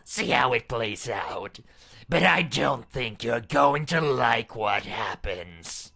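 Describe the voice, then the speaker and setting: A man's voice: mid-range voice, lively intonation, takes it slowly, vocal fry, bright, forceful tone, pitched above the performer's own voice, full character voice. A man voicing a menacing, scornful masked captive princess in a video game, mocking and threatening in manner. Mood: taunting, menacing. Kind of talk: dramatic game character acting.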